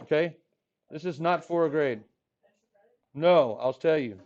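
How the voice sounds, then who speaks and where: Hoarse 40s guy teaching high school math, joking around with the class, highish voice, dull lo-fi sound. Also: unhurried, darkish tone. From Texas Rio Grande Valley. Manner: playful, jokey, talks to the class. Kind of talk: classroom lecture.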